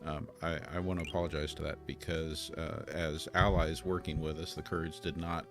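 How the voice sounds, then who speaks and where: A man from the United States hosting a live stream, reading viewer questions in a casual, halting way with many ums and restarts. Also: a bass voice, flat delivery. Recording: guitar music audible under voice.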